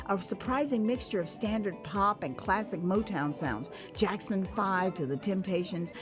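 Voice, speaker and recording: An American woman narrating her own memoir as an audio drama, in an expressive, theatrical way with pauses. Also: mid-range voice. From Texas, United States. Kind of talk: dramatized first-person audio memoir storytelling. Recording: music behind the voice. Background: music.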